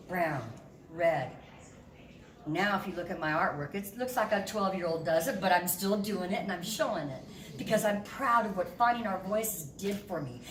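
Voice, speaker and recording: A woman in her fifties giving an animated, candid and emotional personal testimony as a guest speaker. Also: mid-range voice. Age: 50s. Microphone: room mic at a distance. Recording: echoey hall from far off, noisy.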